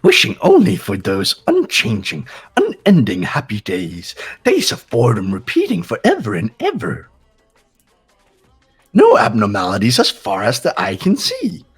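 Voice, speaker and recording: A man's voice: mid-range voice, wildly swooping pitch, measured pace, slightly rough, exaggerated voice. A man voicing a cheerful but sinister mascot announcer for a game, theatrical and sing-song. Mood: mock wistful. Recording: background music behind voice.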